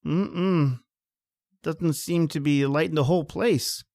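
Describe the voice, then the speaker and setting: An actor playing an anxious, obedient manservant in an old-time radio horror drama. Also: male voice, a little high, unhurried, creaky, darkish tone. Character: nervous, deferential manservant named John.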